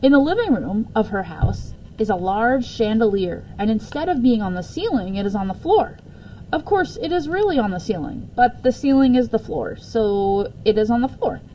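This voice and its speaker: Higher-voiced woman reading a children's story. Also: a little hiss or hum, rough recording. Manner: expressive, deliberate reading with stumbles and self-corrections. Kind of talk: read-aloud children's story with casual asides.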